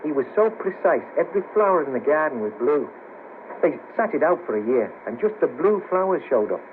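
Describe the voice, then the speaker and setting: A man's voice: fairly high pitch, very animated, fairly quick. An actor playing a hesitant, gloomy Merseyside ex band manager, down on his luck, in a 1970s radio play. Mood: wry. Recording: hissy narrow-band cassette of 1970s radio broadcast.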